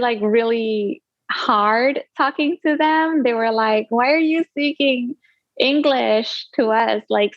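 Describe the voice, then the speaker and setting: A woman's voice: a little high, dry recording. Brief: young woman author, chatty and filler-heavy, dry close sound, lo-fi call audio. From Indonesia. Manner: chatty, many fillers and laughs. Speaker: author guest. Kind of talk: casual interview chat.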